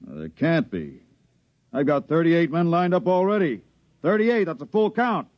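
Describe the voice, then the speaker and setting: A man's voice: a little high, sing-song, moderate pace, no room sound. A man playing a blustery, folksy cargo ship captain in a 1950s science fiction radio drama. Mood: baffled. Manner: blustering, colloquial. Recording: dull vintage 1950s radio recording.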